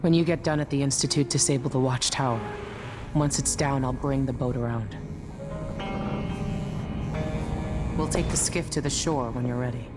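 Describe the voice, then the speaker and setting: A woman playing a gruff, guarded, middle-aged ship captain with a dry, blunt delivery in a video game. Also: very deep voice, steady tone, a little fast, loud music behind the voice. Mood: dry, practical. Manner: dry, blunt, matter-of-fact. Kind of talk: dramatic game dialogue.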